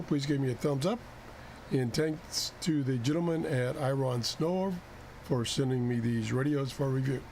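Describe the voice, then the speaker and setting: A man's voice: mid-range voice, a little mellow. A man hosting a casual gadget review, talking in a folksy, plain-spoken, conversational way. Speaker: host of a radio gadget review show. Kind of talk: casual product test report over slides. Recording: clearly noisy home recording.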